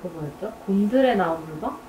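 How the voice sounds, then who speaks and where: A woman's voice: mid-range voice, lively intonation, moderate pace, warm tone. Woman in an echoey, noisy room. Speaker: project team member being interviewed. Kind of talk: casual reflective group discussion. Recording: echoey room with background noise.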